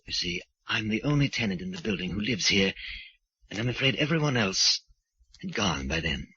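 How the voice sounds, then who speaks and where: Crazed killer sculptor, brooding theatrical old radio style, sharp intense voice swinging high and low. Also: male voice, very animated, normal speed, slightly rough, no room sound, full character voice. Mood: feigned politeness. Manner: theatrical, brooding soliloquies, feigned politeness to others. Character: deranged male sculptor murderer obsessed with playing Death. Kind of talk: 1940s radio acting. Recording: muffled 1940s radio recording.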